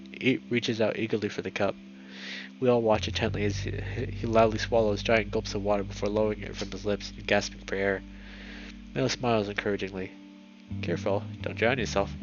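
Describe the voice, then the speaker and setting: Low-voiced man narrating a visual novel. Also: highs cut off. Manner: dramatic, stumbles and rereads words. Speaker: video creator reading a visual novel aloud.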